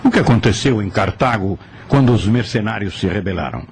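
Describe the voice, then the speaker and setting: A man's voice: lowish voice, lively intonation, fairly quick, gravelly, slight background noise. A man playing an inquisitive scholar in a radio drama, earnestly asking questions about the past. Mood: curious. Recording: music under the voice.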